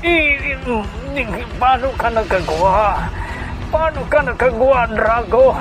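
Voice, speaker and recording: High-voiced, frightened crime boss played by a man. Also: lively intonation, slow, over music, full character voice. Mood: terrified pleading. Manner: stammering, fearful. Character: frightened male crime boss, stammering and pleading. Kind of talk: radio action drama acting.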